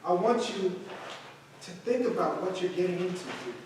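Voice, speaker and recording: An actor playing an earnest acting teacher who mentors young performers in a staged play reading. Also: male voice, high-pitched, animated, normal speed. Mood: urgent. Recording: echoey hall, distant mic, faint noise.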